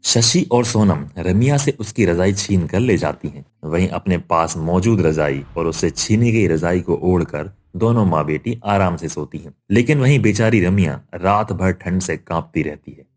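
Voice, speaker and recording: Deep-voiced male story narrator. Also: animated, moderate pace, few pauses, a little mellow. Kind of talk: scripted cartoon story narration.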